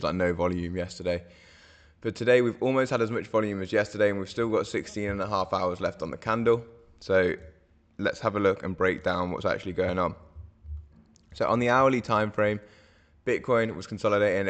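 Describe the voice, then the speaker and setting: A hoarse man, walking viewers through market charts in a casual, rambling way, full of fillers and self-corrections. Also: lowish voice, a bit bright. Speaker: crypto market analyst host.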